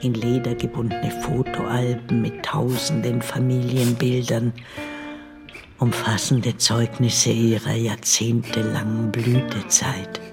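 An older woman playing the bitter, devoted ghost of a family maid, narrating a radio drama in a brooding, deliberate way. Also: a bass voice, little pitch movement, gravelly, heavily acted. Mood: scornful, bitter. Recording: studio radio play over loud music.

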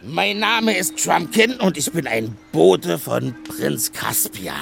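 Casting: gruff, hearty dwarf for a children's audio play, very gravelly, slightly high, blustery and colourful, faint music underneath. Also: male voice, slow pace, exaggerated voice. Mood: explaining, hesitant. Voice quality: gravelly, creaky. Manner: blustery, excitable, colourful speech. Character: stocky, gruff, hearty male dwarf, talkative and hungry. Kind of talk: children's audio drama acting.

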